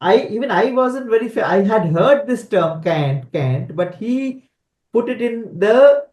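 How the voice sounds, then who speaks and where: A man's voice: high-pitched, animated, darkish tone, roomy sound. A man, a film director, explaining at length with many restarts and fillers on a panel. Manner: rambling, halting, mixing languages. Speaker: director and writer. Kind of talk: panel interview.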